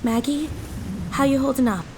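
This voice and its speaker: A woman plays a determined, worried teenage girl in a fantasy audio drama. Her voice is high and her speech casual and urgent, over faint background noise. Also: moderate pace, lightly acted.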